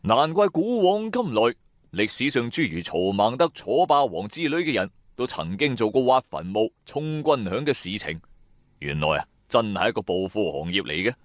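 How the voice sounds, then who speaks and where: A man's voice: medium pitch, big pitch swings, normal speed, no room sound, clean studio sound. A man with a Cantonese accent narrating a dramatised first-person story in a theatrical, colloquial way. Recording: background music under the voice.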